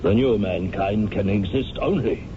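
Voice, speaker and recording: A man's voice: fairly low pitch, unhurried, natural voice. An actor playing the oldest male member of a secret society, a genial, slightly flustered banker. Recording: muffled hissy old radio broadcast.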